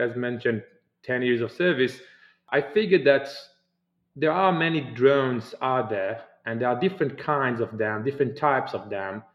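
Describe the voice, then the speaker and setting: Israeli-accented founder, roomy remote audio. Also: male voice, mid-range voice, dull audio. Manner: earnest, explains at length with fillers and non-native phrasing. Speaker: startup founder and CEO, guest. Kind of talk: podcast interview answers.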